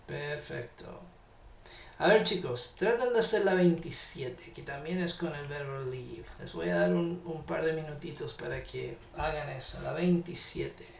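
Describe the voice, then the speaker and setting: A man with a Chilean Spanish accent teaching English grammar in a live online class, patiently repeating English example sentences slowly. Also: a little high, vocal fry, a bit bright, echoey room, distant mic.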